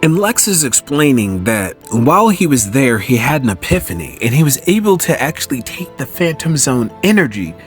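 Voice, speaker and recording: A man hosting a recap in casual commentary, telling the story in an animated, conversational way. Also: mid-range voice, moderate pace, hardly any pauses, faint music behind. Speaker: host of a comic book recap channel.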